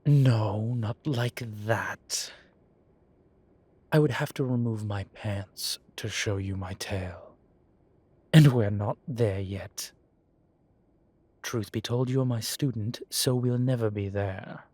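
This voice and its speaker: A man playing a composed, teasing demon professor in a roleplay audio, speaking in a formal, measured and wry way. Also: on the low side, darkish tone, husky, no room sound. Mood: teasing reproof. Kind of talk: scripted roleplay audio, one side of a conversation.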